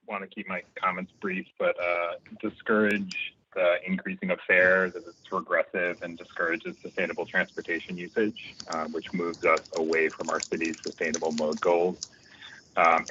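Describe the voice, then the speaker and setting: A man giving a brisk, itemized public comment by phone. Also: sits low, bright, forceful tone, highs cut off, slight background noise. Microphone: phone line.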